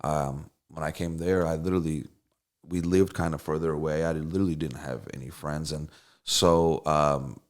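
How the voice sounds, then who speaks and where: A man's voice: very deep voice, fairly even intonation, moderate pace. A middle-aged man from Russia whose first language is Russian, telling stories casually in an interview with lots of fillers and restarts. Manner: rambling with many fillers and restarts. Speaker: songwriter and producer guest.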